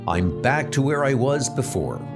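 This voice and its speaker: A man playing an earnest, grimly determined archer superhero in a comic book dub, with deliberate, dramatic delivery. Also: mid-range voice, big pitch swings. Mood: grim resolve. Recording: loud music under the voice.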